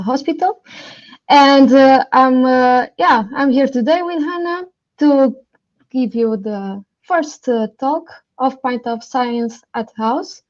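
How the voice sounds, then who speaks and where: Higher-voiced young woman, muffled call audio. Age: young adult. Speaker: co-host, PhD student. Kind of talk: informal live introduction. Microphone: video call. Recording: dull, lo-fi video call audio.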